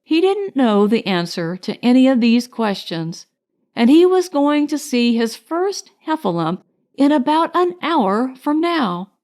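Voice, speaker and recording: A woman narrating a children's storybook in a measured, expressive storytelling manner. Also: fairly high pitch. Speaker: audiobook narrator.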